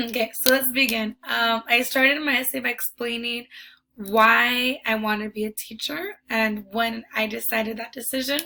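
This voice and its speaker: A woman's voice: a little high, steady tone, a little slow, vocal fry, sharp tone, poor audio. A young woman, a student teacher, losing her voice, talking casually with lots of ums and likes about her teaching philosophy. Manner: chatty, many ums and likes. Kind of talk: casual presentation video.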